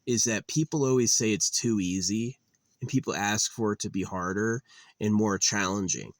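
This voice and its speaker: A man's voice: lowish voice, normal speed, dry recording. A man in his late thirties musing in a rambling, weary monologue, with lots of fillers and restarts. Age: 30s. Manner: weary, resigned. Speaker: channel host.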